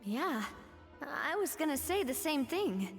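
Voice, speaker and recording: Spirited, high-voiced young lady. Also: very animated, measured pace, soft background music, heavily acted. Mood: doubtful. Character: spirited, eager, proud young woman amateur baker. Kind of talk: video game voice acting.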